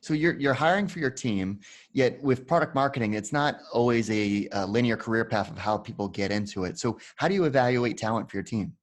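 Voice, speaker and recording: A man's voice: mid-range voice, brisk. A middle-aged man interviewing a guest in a conversational way, setting up each question.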